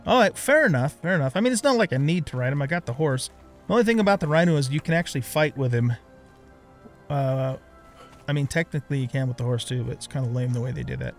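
A man's voice: medium pitch, very animated, quick pace, soft background music. A middle-aged man giving casual let's play commentary on a video game, thinking aloud and muttering to himself.

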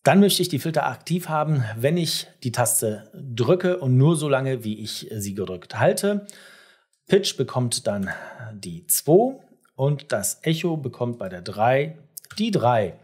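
A middle-aged man with a husky voice walks the viewer through software step by step. His pitch moves up and down in a lively way as he explains and addresses the listener directly. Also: takes it slowly. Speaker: tutorial presenter.

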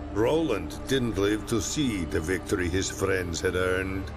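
An actor playing a theatrical, wry storyteller, narrating a video game storybook tale dramatically and deliberately. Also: male voice, lowish voice, vocal fry, exaggerated voice. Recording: loud music behind. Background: music.

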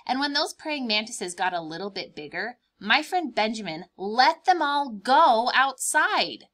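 A woman's voice: fairly high pitch, very animated, measured pace, a bit bright. A young adult woman, a preschool teacher, explaining things simply and expressively with questions for young listeners during a read-aloud.

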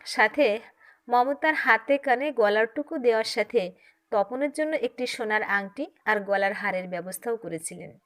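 A woman reads a story aloud. Her voice sits fairly high. She narrates with expressive, dramatic feeling, as a storyteller would in an audiobook. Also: moderate pace. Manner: dramatic, acts out dialogue.

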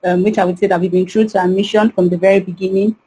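Husky woman speaking earnestly. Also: medium pitch, flat delivery, fast talker, warm tone, rough recording. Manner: earnest, repeats "you know". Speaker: panelist. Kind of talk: online panel discussion.

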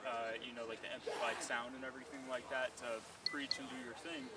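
A man, a police officer, calmly suggesting solutions during a street conversation. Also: mid-range voice, fairly quick, gravelly. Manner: calm, offering solutions. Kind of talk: casual conversation. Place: outdoors on a city street. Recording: distant voice, loud street noise.

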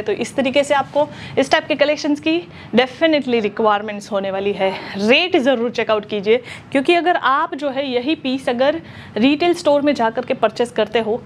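Brisk young woman pitching clothes. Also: slight background noise. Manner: brisk promotional patter, fillers. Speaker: shop presenter. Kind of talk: product showcase sales pitch. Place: clothing shop showroom, indoors.

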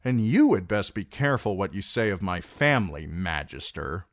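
A man playing a bitter dwarf lord with a dry, wry, clipped delivery. The voice is deep and low, close to the reader's own, and moves with lively shifts in pitch. It is recorded dry. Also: normal speed, dry recording. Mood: sardonic. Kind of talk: audiobook character reading.

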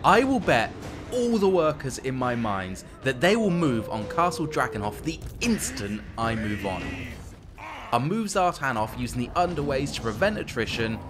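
A man's voice: medium pitch, very animated, moderate pace. A man giving playful, wry commentary on a strategy game as he plays. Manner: wry, tongue-in-cheek. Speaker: gameplay commentator. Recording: voice-over with background music. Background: music.

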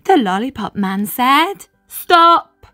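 A woman reading a picture book aloud expressively, in an animated way for young children. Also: high voice, extremely slow, faint music behind. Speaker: children's story reader.

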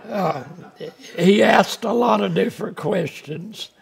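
Gravelly older pastor preaching slowly. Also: male voice, sits high. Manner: folksy, plainspoken preaching with frequent "uh". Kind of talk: sermon. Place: small church sanctuary.

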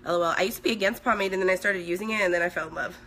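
Swedish-born woman in her 30s doing a live makeup stream on her phone, bit hoarse, bright voice, faint room hiss, chatting with viewers. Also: mid-range voice, rapid-fire, poor audio. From Sweden. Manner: chatty, reads viewer comments aloud while working. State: hoarse, voice recovering. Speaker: makeup artist. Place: indoors at home, small room. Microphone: phone at arm's length.

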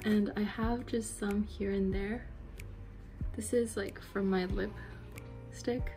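A woman's voice: mid-range voice, fairly even intonation, takes it slowly, dark tone, soft background music. A young woman vlogging casually about her makeup, candid and swearing freely, her voice getting worn from a day of teaching. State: losing her voice. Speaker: beauty vlogger. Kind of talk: casual vlog chat.

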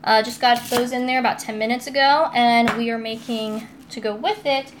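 Higher-voiced American woman, noisy kitchen stream. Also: fairly even intonation, normal speed. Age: young adult. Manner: chatty, giggly, informal. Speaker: host of a cooking live stream. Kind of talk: casual chatty live stream, reading comments aloud. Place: indoors in a home kitchen. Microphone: phone or tablet, a short distance away.

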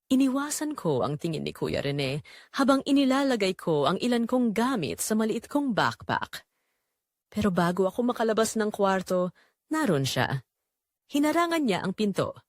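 A woman's voice: medium pitch, big pitch swings, moderate pace, dry recording, studio quality. A woman narrating a dramatic first-person story as a scripted audio drama.

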